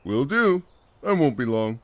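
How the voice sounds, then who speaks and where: A man's voice: medium pitch, very animated, unhurried, fairly bright tone. A man voicing a wry, drowsy, laid-back skeleton brother in a cartoon comic dub, with a casual, joking drawl. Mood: relaxed.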